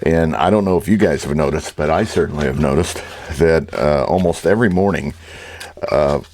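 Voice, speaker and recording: A man's voice: rumbling low, normal speed, darkish tone. A man giving a casual, rambling and easygoing vlog talk as an online reseller.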